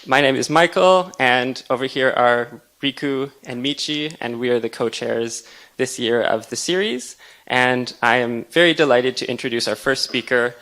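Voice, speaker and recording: A man introducing a guest speaker in a lecture room, polite with gentle teasing. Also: medium pitch, normal speed, a little hiss or hum, rough recording. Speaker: series co-chair introducing speaker. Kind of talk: event introduction.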